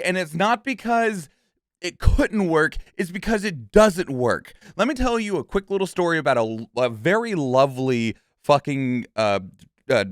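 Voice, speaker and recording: Guy streamer doing opinionated game reactions, kinda high voice, emphatic, sweary, really dry sound no room echo. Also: a bit bright. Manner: emphatic, frequently tags statements with "okay?" and "right?"; swears casually. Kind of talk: casual opinionated commentary.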